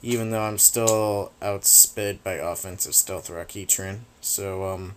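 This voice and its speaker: A man talks through a video game match in a loose, rambling way, tossing in casual swears. His voice sits a little low. It is turning raspy after a long stretch of talking. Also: little pitch movement, takes it slowly, slight background noise. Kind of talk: casual gameplay commentary.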